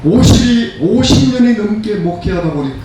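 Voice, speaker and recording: Echoey, higher-voiced elderly male preacher. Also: lively intonation, normal speed. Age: 70s. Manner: emphatic, repeats phrases in parallel for stress. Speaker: pastor preaching. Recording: very echoey room, distant mic, faint noise.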